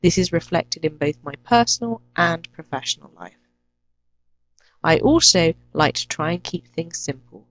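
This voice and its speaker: A woman with an English accent, introducing herself as an English teacher and listing her lessons clearly and deliberately. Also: lowish voice, expressive, dry recording, rough recording. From England. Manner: clear, deliberate, lists items one by one. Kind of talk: self-introduction to a teaching channel.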